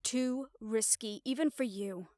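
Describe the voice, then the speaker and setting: A woman's voice: a little high, unhurried, a little mellow, no echo, clean studio sound, close to an everyday voice. A woman playing a mafia boss's blunt, exasperated, loyal girlfriend in an audio roleplay, swearing and sarcastic. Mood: angry, sarcastic. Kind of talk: audio roleplay acting to the listener.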